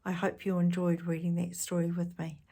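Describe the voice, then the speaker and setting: Low husky woman reading a children's story. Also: normal speed. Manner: expressive, addresses young listeners with questions. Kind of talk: read-aloud storytelling with chatty asides.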